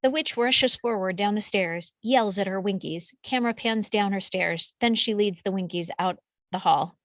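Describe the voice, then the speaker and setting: A woman's voice: mid-range voice, normal speed, no echo. A woman reading out screenplay action descriptions as scripted narration. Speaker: stage directions reader.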